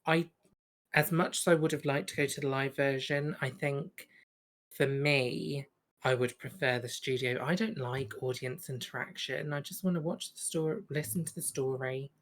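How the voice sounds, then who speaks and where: A woman's voice: rumbling low, steady tone, normal speed. A woman co-hosting a podcast, chatting casually through a review in a hesitant, giggly way. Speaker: podcast co-host.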